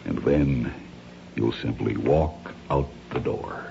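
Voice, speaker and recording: Cool menacing crime boss type, low voice, formal n condescending, old radio drama, muffled with some hiss. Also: male voice, slow pace, vocal fry, dark tone, full character voice. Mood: calmly instructing. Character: smooth, calculating male crime schemer, coolly menacing. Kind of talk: radio mystery drama acting. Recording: dull, hissy old radio recording.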